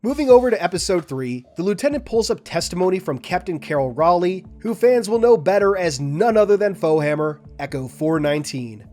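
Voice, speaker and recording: A man's voice: high-pitched, lively intonation, a little fast, few pauses, a little mellow, faint music behind. A man hosting a lore analysis video, reading a scripted narration with enthusiasm and speaking directly to his viewers.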